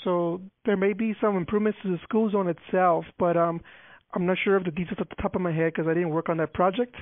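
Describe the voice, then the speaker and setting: A man with a high voice explains official procedure over the phone. He halts often with fillers and restarts. The line is tinny and thin, with a dry, close sound. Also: fairly even intonation, fast, no room sound. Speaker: transportation department official. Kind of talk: phone call.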